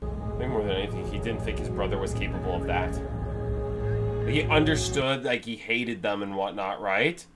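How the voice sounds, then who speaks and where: A man's voice: on the low side, expressive, moderate pace, over loud music. A young man hosting a movie reaction with his brother, blunt and swearing, in a dim room at home. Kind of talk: casual reaction chat. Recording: movie audio playing behind.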